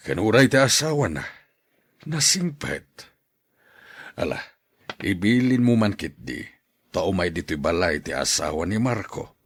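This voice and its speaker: A man playing a stern, proud older plantation boss in an audio drama, with a husky voice. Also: sits low, dry recording, heavily acted. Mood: musing.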